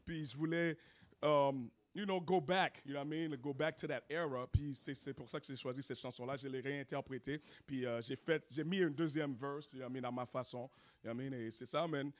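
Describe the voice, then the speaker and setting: A man's voice: medium pitch, steady tone, brisk. Laid-back Haitian rapper, code-switching. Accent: Haitian French. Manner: laid-back, peppers speech with "you know what I mean". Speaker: rapper and singer. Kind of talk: casual interview, mixing French and English.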